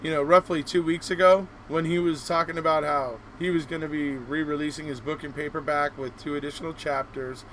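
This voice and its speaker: A man's voice: a little high, fairly even intonation, brisk, hardly any pauses, a bit bright, a little hiss or hum, rough recording. A man hosting a live online show, chatting casually in an encouraging, rambling way. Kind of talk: casual interview chat.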